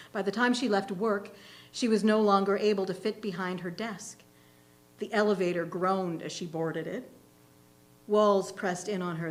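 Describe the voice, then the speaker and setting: A woman with a slightly low voice reads a literary short story aloud. Her delivery is measured and expressive, with a faint hum of noise in the recording. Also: rough recording. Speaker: reader at a readers' theatre.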